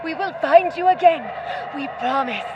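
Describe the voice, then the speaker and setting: Woman as a loving but firm mother, high voice, earnest radio drama delivery, muffled with faint noise. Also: animated, normal speed. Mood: resolute, loving. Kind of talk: audio drama acting. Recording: muffled, faint vehicle rumble.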